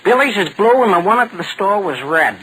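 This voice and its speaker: A man's voice: high-pitched, expressive, brisk, bright, forceful tone, rough recording. An actor playing a brash, suspicious American teenage boy, the scheming pal in a 1940s radio comedy. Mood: conspiratorial. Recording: hissy vintage 1940 radio broadcast.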